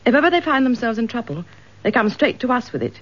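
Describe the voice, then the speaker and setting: An actress playing a motherly, gently persistent English wife who coaxes, in a radio detective drama. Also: a little high, big pitch swings, fast. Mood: soothing, coaxing. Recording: dull lo-fi radio drama recording.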